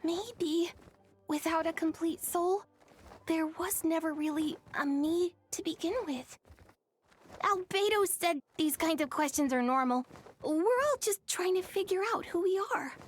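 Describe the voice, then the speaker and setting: A woman voicing a young boy dragon in a video game, shy, gentle and earnest, with a soft, hesitant, sincere delivery. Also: very high voice, a little hiss or hum.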